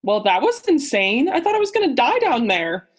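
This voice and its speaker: A woman's voice: high-pitched, very animated, moderate pace, breathy edge, exaggerated voice. A young woman playing a self-absorbed male French oceanographer, breezy and dismissive, in a student radio play. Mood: relieved, exuberant. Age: young adult.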